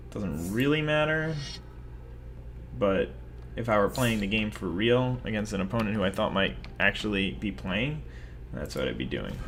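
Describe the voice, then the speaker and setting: A man's voice: lowish voice, vocal fry, a bit bright, a little hiss or hum. A young man giving rambling, self-mocking commentary over his own card game, talking casually to viewers. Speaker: gaming video host.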